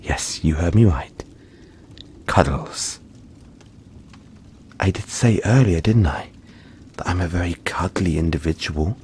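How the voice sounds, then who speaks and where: Man playing a slow-talking, gentle deep-voiced yeti monster. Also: a little hiss or hum. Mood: warm, playful. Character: gentle, cuddly, long-lived male yeti monster. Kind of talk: intimate audio roleplay monologue to listener.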